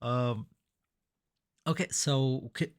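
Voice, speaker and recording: Halting man, native Arabic speaker. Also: mid-range voice. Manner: halting, restarts words often. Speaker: podcast host. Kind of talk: casual interview chat. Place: home near Tokyo. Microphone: video call.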